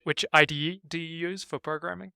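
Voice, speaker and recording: Bright, gravelly-edged male interviewer. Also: fairly high pitch, fairly even intonation, no echo, studio quality. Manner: upbeat, brisk questioner. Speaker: interview host. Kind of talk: quick rapid-fire interview questions.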